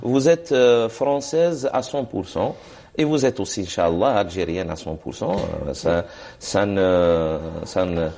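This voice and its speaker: Gravelly low-voiced man giving religious advice. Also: a little slow, darkish tone. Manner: hesitant, many fillers, quotes Arabic formulas. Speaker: religious scholar answering questions. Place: TV studio.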